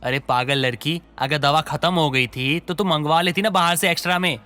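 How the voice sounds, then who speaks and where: A man's voice: fairly high pitch, a little fast, bright, forceful tone, soft background music. A man playing an irritable, demanding young husband, scolding and impatient, in a dramatised family story. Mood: annoyed, scolding. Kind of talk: dramatised Hindi moral story acting.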